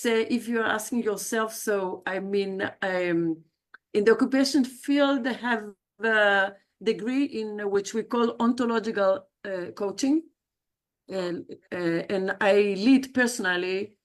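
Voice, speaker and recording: A woman, introducing herself on a video call in a chatty, personal way, with frequent hesitations and self-corrections. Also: mid-range voice. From South America. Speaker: guest speaker, leadership coach. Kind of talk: livestreamed self-introduction talk.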